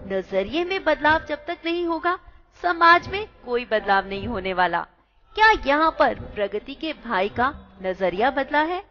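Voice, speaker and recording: Expressive high-voiced woman narrator. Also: unhurried. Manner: addresses listeners directly, expressive. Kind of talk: radio play narration. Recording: muffled recording with music behind.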